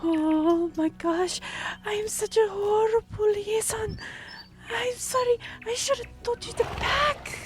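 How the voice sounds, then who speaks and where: A woman's voice: very high-pitched, slow, faint music behind. A woman playing an earnest, easily flustered young half-elf royal liaison in a fantasy audio roleplay, with a stammering, pleading manner. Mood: flustered, apologetic. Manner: breathy sighs, stammering, pleading.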